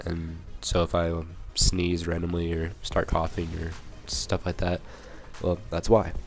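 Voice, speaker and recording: A young man with a cold, hoarse and a little raspy, rambling through casual gameplay commentary with many fillers and restarts. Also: low-pitched, monotone, measured pace, faint music behind. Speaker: gaming channel commentator.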